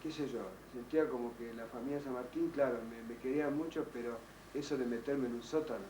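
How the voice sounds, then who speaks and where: An older man whose first language is French, giving halting oral history testimony with many restarts and fillers. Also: mid-range voice, fairly even intonation, warm tone. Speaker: interviewee, child survivor. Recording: roomy, distant, faint noise.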